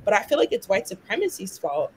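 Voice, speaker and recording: A woman's voice: medium pitch, big pitch swings, quick pace, slight background noise, poor audio. A young woman from London and Miami speaking passionately in a conversational discussion, peppering her talk with "like" and "right?". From born in London, raised in Miami. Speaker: guest, activist.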